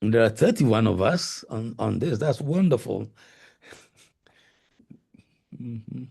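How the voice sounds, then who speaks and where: A middle-aged Ghanaian-accented man answers questions on a video call, his voice deeply gravelly and husky. He speaks hesitantly, with many fillers and restarts. The tone is dark and mellow. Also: animated, takes it slowly. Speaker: guest lecturer.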